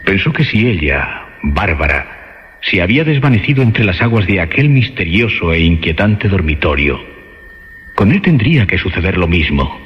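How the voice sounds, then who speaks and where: Male horror radio-play narrator, lowish pitch, very animated intonation, emphatic, suspenseful pauses, dull recording. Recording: dull lo-fi audio under music. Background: dramatic music.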